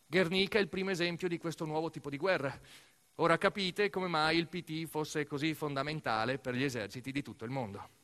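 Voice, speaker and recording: A man narrating a scripted theatrical monologue, telling the story in an emphatic, expressive way. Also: a little high, a little fast. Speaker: actor-narrator of a solo theatre piece.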